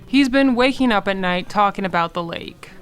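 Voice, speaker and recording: A tired, dismissive mother in an audio horror drama, acted by a woman. She sounds exasperated and matter-of-fact, with a middling female pitch. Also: lively intonation, moderate pace, clear, resonant tone, lightly acted. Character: worn-out, dismissive adult sister, mother of a small boy.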